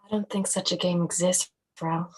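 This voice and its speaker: A woman playing a dismissive, matter-of-fact video-game character with a husky voice in an animated film table read. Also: on the low side, normal speed.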